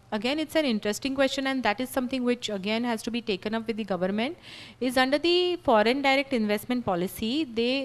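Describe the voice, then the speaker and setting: A woman panelist giving a measured, explanatory answer on legal rules, often checking in with "right?" and "you know". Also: fairly high pitch.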